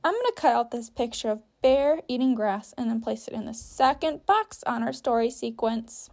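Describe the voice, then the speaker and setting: A woman's voice: on the high side, lively intonation, moderate pace, a little mellow. A woman teacher guiding young children through a story activity step by step, patiently, with simple sentences and guiding questions. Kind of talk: instructional read-aloud for young children, step-by-step.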